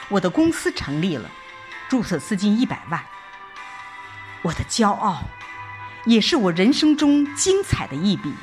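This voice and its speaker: A woman reading a scripted first-person audio drama narration in an expressive, reflective storytelling manner. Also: fairly low pitch. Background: background music.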